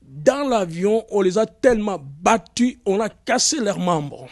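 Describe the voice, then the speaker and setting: A man with a fairly high voice delivers an impassioned public statement, pressing each point with growing force. The sound is close and dry, with no room around it. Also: lively intonation, measured pace, darkish tone, no echo. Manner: emphatic, rising to anger. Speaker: speaker in played video clip.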